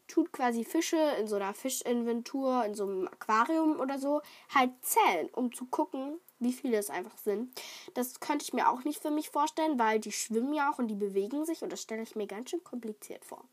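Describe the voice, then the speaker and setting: A woman's voice: on the high side, lively intonation, normal speed. A young woman with a cold and a slightly stuffy voice, chatting casually and rambling on her solo podcast with lots of fillers. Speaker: podcast host.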